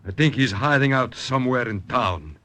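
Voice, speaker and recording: An actor playing a hard-bitten, vengeful partisan in an old-time radio drama. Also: male voice, medium pitch, animated, moderate pace, bright, forceful tone. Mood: grim vengefulness.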